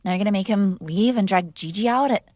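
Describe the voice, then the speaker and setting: A woman's voice: mid-range voice, fast talker, darkish tone, no echo, studio quality. A young woman performing an audio roleplay as a defiant, sarcastic mob boss's daughter arguing with her father. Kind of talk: scripted ASMR girlfriend roleplay.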